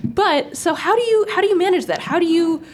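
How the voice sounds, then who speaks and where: A woman's voice: very high voice, fairly quick. A woman interviewing a startup team, asking questions in an inquisitive way with rising intonation. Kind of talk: casual interview.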